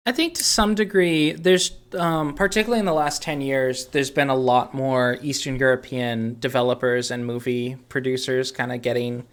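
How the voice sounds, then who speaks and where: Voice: mid-range voice, steady tone, moderate pace, hardly any pauses. A podcast co-host, chatting casually about video games and explaining things in a hesitant, thoughtful way. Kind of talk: casual video game discussion.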